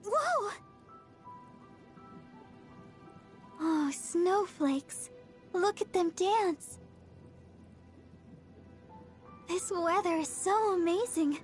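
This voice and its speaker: Very high-pitched, sweet young idol girl. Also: expressive, takes it slowly, faint music behind, exaggerated voice. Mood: delighted. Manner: sweet, earnest, bubbly. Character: cheerful, devoted young girl idol healer. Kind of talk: video game character voice lines.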